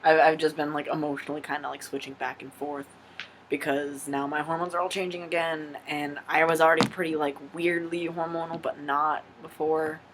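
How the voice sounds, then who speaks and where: A man's voice: on the high side, little pitch movement, fairly quick, fairly bright tone, slight background noise, rough recording. A young man casually answering viewer questions on his vlog, frank and rambling, swearing freely. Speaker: vlogger.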